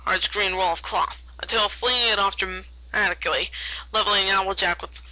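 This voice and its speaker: A woman's voice: mid-range voice, fairly even intonation, normal speed, bright, forceful tone, no room sound, low-quality recording. A woman narrating fan fiction aloud expressively, often stumbling over and repeating words.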